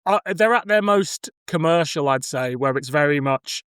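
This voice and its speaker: A man's voice: fairly high pitch, animated, normal speed, fairly bright tone, clean tone, no echo, studio quality. A man chatting casually about films as a podcast co-host. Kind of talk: casual film discussion chat.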